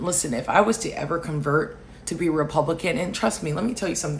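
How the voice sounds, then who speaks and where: Woman, political commentator, loud, emphatic, lower voice, faint background hiss. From Alaska, raised in Hawaii. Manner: loud, emphatic, rising to near shouting on key points. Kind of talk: impassioned commentary reading viewer comments.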